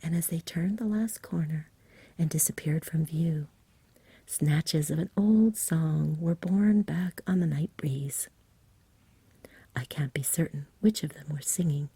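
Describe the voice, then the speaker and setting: A woman narrates a bedtime story in soft ASMR style, gentle and soothing. Her voice is dark, husky and creaky, close to a whisper, with an unhurried delivery. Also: sits low, no echo. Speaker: ASMR reader and host.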